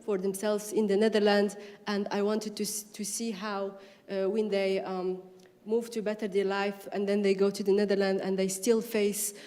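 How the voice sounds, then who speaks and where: A woman with a Moroccan accent speaks on a panel, pausing often to find her words. Her pitch stays fairly flat. The sound carries the reverb of a large hall. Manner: halting, searching for words. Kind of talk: panel talk.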